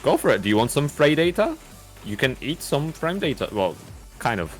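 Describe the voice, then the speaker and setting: A man's voice: fairly high pitch, big pitch swings, normal speed, soft background music. A man co-commentating a fighting-game tournament, chatty and rambling and often laughing. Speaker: esports co-commentator.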